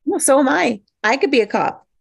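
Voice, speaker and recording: A woman's voice: sits high, lively intonation, fairly quick, vocal fry, no room sound, clean studio sound. A woman playing a spirited, self-doubting woman in a comedy script read, in a natural conversational manner. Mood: playful. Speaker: actor in an online script table read. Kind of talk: live comedy screenplay table read.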